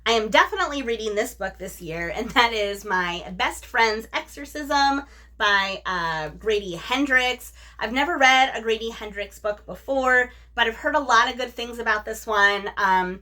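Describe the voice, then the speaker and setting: A woman's voice: on the high side, moderate pace, bright, forceful tone. A woman hosting a book review video, chatting casually and enthusiastically to her viewers. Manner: enthusiastic, gushing.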